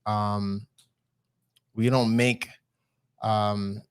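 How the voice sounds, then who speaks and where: A man's voice: lowish voice, level tone, extremely slow, clean tone. A man from Jamaica, co-hosting a casual live podcast chat. He talks candidly and proudly, swears freely and often restarts his sentences. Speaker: co-host.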